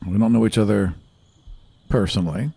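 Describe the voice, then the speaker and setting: A man's voice: lowish voice, moderate pace, vocal fry, mellow, husky, no echo. A man playing a curt, suspicious warden with clipped, evasive answers in a tabletop fantasy game. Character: curt, suspicious male warden on night patrol.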